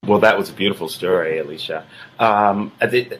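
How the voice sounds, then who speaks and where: A man's voice: low voice, a little fast, fairly bright tone, slight background noise, rough recording. An older man from New York City, a guest actor talking in a playful, joking way.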